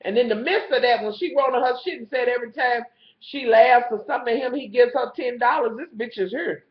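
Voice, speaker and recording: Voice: medium pitch, brisk, roomy sound. Stuffed-up, profane recapper. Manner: profane, gossipy storytelling that calls the viewer honey. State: stuffed-up nose. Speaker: TV show reviewer. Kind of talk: casual episode recap vlog. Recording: slightly muffled lo-fi recording.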